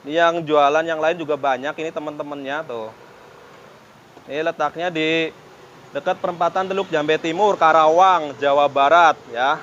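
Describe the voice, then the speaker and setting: A chatty man hosting a street-food vlog, voice pitched a little high and eager. He keeps the vendor talking with quick follow-up questions. The audio is slightly muffled, with faint traffic in the background. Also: steady tone, fairly bright tone. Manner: chatty, keeps prompting with "ya, Bang?". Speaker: food vlogger interviewing a vendor. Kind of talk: casual street-food interview. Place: roadside drink stall by a busy crossroads.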